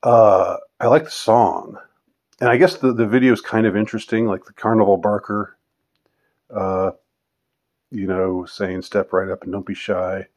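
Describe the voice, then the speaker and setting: Halting, low-voiced man in his fifties. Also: fairly even intonation, darkish tone. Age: 50s. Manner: halting, rambling, with trailing thoughts. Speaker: vlogger answering viewer questions. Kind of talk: casual unscripted question-and-answer monologue.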